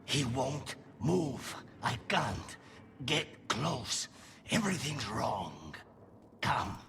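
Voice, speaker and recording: An actor playing an urgent, desperate demon spirit in a video game, speaking in short, halting, insistent phrases. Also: male voice, mid-range voice, very animated, vocal fry, full character voice. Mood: urgent, pleading. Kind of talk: video game character dialogue. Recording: loud music behind.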